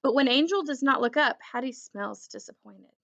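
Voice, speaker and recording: A woman reading a children's story aloud in an expressive, deliberate storytelling manner. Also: high-pitched, creaky, dull audio. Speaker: children's book reader.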